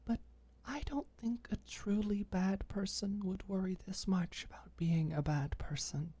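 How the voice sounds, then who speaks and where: A woman voicing a gentle, hesitant young woman in an ASMR audiobook, mumbling softly and reassuringly. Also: medium pitch, breathy edge, voice pushed up. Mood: tender, reassuring. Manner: soft mumbling, halting. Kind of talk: audiobook character dialogue, ASMR.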